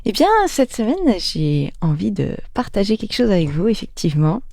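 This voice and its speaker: A woman's voice: mid-range voice, lively intonation, normal speed, creaky, mellow, no echo. A woman columnist in a radio studio sharing a personal reflection conversationally, candid and a little self-mocking. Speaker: show columnist.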